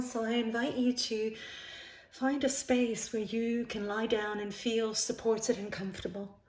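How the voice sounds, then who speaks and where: A woman leading a guided yoga nidra relaxation as the instructor, speaking in soothing, unhurried phrases with pauses. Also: mid-range voice. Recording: roomy, echoing sound.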